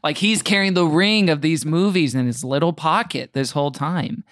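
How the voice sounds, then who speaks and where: A man's voice: on the high side, moderate pace, a bit bright, dry recording, studio quality. A man chatting casually as a podcast guest, wry and opinionated in the way he talks. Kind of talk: casual chat.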